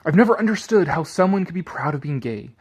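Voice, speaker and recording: A man's voice: on the high side, lively intonation, fast, a little mellow, husky. A man giving a sardonic commentary and theatrical reading of an article, drifting into rambling asides.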